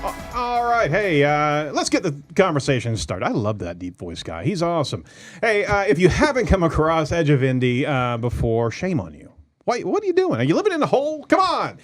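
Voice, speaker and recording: A man hosting a casual studio talk show, joking and energetic, often stumbling and repeating words. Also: on the high side, fairly quick, soft background music. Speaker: host.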